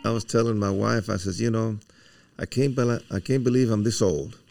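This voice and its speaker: A man's voice: lowish voice, normal speed, creaky, a little mellow, soft background music. A man in his seventies hosting a casual, folksy conversational interview, dropping in Spanish filler words. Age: 70s. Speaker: interview host.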